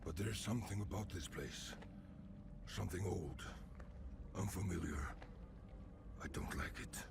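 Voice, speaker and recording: A man voicing a huge, weary old male warrior who talks slowly and sounds uneasy and distrustful. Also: sits low, creaky, heavy background noise, voice pushed down.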